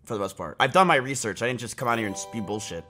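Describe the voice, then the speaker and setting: A man's voice: mid-range voice, animated, fast, sharp tone, faint music behind. A man in his twenties talking casually on a live stream, rambling with lots of fillers and restarts, and getting heated and blunt. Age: 20s. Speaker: livestreamer. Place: small indoor room, home streaming setup.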